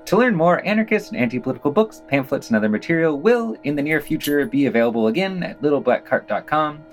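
A man's voice: on the high side, lively intonation, fast talker, faint music behind. A man co-hosting a podcast, reading scripted news items and quotations with dry, wry asides.

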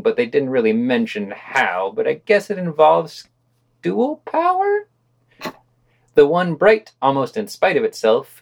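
A man hosting a podcast, reading written reviews with wry, skeptical asides. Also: mid-range voice. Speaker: podcast host.

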